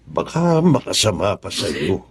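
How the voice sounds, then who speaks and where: A man with a Filipino accent playing a caring older grandfather who gently admonishes, in a Tagalog radio drama. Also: on the low side, big pitch swings, unhurried, gravelly, husky, heavily acted. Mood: gentle, concerned.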